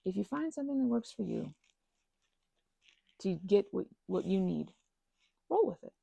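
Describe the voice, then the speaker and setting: Woman in her thirties, husky, gravelly fry, casual slangy monologue, emphatic playful phrasing. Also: medium pitch, big pitch swings, normal speed, dark tone, no echo. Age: 30s. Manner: emphatic, playful slangy rambling with quoted asides. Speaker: ASMR channel host. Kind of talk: casual rambling ASMR monologue.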